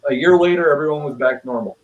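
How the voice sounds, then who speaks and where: A man's voice: on the high side, quick pace, narrow, tinny audio. Quebec guy, opinionated whisky reviewer, live stream chat, says things twice when he's worked up. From Quebec, Canada. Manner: opinionated, emphatic, repeats words when excited.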